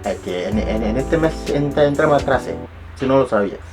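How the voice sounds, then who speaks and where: A man's voice: medium pitch, animated, brisk, over music. A young man speaking Spanish as a second language, telling a casual story with rambling restarts and self-mocking asides. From abroad, not Chile. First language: not Spanish. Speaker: vlogger.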